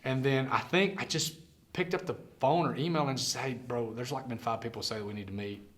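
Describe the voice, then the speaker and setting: A man's voice: medium pitch, fairly quick, a bit bright. A man hosting a casual interview, talking in an effusive, chatty and informal way. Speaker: interview host.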